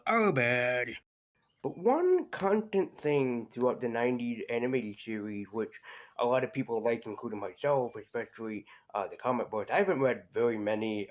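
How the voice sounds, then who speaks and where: A man reviewing cartoons in a casual, conversational way, hesitating and drifting into asides as he goes. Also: mid-range voice. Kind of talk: casual rambling review commentary.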